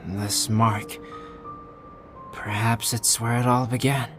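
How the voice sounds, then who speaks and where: A man voicing a calm, scholarly young male alchemist in a video game, soft-spoken and measured as he explains things. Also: medium pitch, natural voice. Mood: explaining. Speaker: game character. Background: game music.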